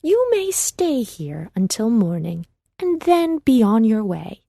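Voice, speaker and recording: Sly old witch for a kids' storybook, done by a female narrator: coaxing, sweet, soft-toned, slow. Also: fairly high pitch, sing-song, creaky, dry recording, clean studio sound, full character voice. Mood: sweetly welcoming. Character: sly old witch posing as a kind old woman.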